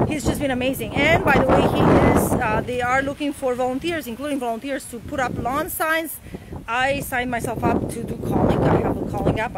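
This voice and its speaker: A woman in her forties, a native Romanian speaker, talking earnestly into a phone held at arm's length as she walks outdoors, rambling with many restarts. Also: sits high. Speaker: vlogger. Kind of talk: casual livestream talk. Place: outdoors by a street, walking. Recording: loud street noise.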